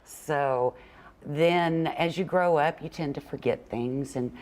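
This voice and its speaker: A woman's voice: deep voice, takes it slowly, darkish tone. An older woman answering conversationally as an interview guest, in a plainspoken, storytelling way. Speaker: interview guest, children's book author and illustrator. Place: TV morning show interview.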